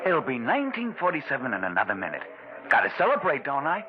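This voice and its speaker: A man's voice: mid-range voice, huge pitch swings, quick pace, a crowd in the background. An actor playing a young man who drinks too much, pleading and confused in an old 1940s radio drama. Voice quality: slurred, pleading, emotional delivery. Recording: hissy, muffled old radio broadcast.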